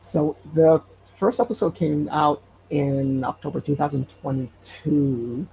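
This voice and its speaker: A man chatting casually as an interview guest, often hesitating and pausing to search for words. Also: on the high side, vocal fry, dark tone. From Hawaii. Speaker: podcast creator, interview guest. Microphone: online call. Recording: muffled, noisy internet call.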